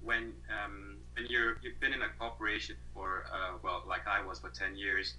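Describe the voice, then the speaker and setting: A man's voice: lowish voice, little pitch movement, normal speed, pushed and bright, poor audio. A man in his thirties from Malaysia, a guest on an interview over a video call, talking thoughtfully with many fillers and restarts.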